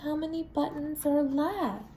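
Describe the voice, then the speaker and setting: A woman with a high voice reads a children's story aloud at a slow, deliberate pace. Her delivery is expressive and sing-song, as if talking straight to small children. The room adds a noticeable echo around her voice. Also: slight background noise. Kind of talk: read-aloud for young children with sung refrains.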